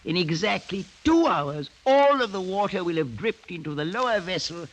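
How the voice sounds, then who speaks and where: An actor playing a cruel, scheming older aristocratic uncle in a mid-century radio drama, speaking with mock courtesy and theatrical relish. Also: male voice, sits high, animated, normal speed. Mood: smug relish. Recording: noisy vintage radio recording.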